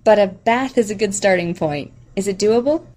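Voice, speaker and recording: A woman voicing a composed, weary female therapist in an audiobook, speaking in a measured, professional way. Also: medium pitch, darkish tone, lightly acted. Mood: concerned.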